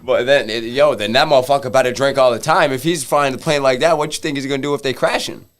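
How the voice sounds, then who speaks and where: A man's voice: mid-range voice, fast, slight background noise. A man in his twenties in a casual chat among family, laid-back, emphatic and swearing freely. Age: 20s.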